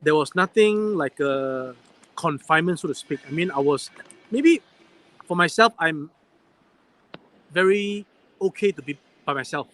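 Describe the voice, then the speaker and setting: Chatty higher-voiced man hosting. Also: animated, a little slow, pauses often, a bit bright. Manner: inquisitive, chatty, asks probing questions. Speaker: live stream host. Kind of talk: casual interview chat. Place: airport waiting area at night.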